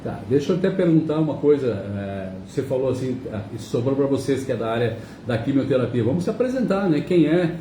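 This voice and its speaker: A man's voice: medium pitch, expressive, quick pace, hardly any pauses, dark tone, a little hiss or hum. A man hosting a radio talk show, asking his guests long, conversational questions. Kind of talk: casual interview chat. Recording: echoey room, mic at a distance.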